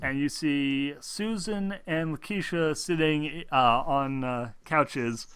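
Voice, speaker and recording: A man improvising narration as game master of a tabletop game, thinking aloud in a halting, conversational way. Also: a little high, fairly bright tone, smooth, dry recording.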